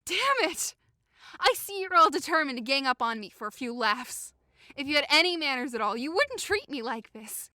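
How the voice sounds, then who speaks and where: A woman's voice: high-pitched, sing-song, moderate pace, sharp tone, no room sound, studio quality, full character voice. A woman playing a hurt, indignant young woman in a modern-English Shakespeare audio drama, impassioned, accusing and sarcastic. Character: hurt, indignant young woman convinced she is being mocked.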